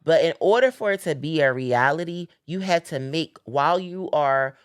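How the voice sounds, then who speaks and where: A woman's voice: sits low, moderate pace, clean tone, no room sound, clean studio sound. A young woman hosting a podcast, talking earnestly about faith and dreams and repeating phrases for emphasis. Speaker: podcast host. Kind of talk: casual faith-based chat.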